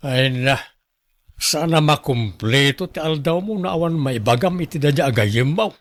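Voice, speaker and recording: Man acting lightly pitched, chiding Ilocano husband. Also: expressive, moderate pace, no room sound, clean studio sound, natural voice. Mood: mildly exasperated. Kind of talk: radio drama acting.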